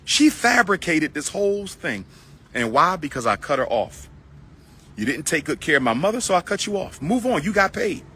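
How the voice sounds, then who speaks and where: A man's voice: fairly high pitch, very animated, moderate pace, bright, forceful tone, breathy edge. A middle-aged man hosting a livestream, preaching and ranting to viewers he calls brothers and sisters, then chatting with a caller. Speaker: livestream host. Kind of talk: heated livestream rant and caller chat.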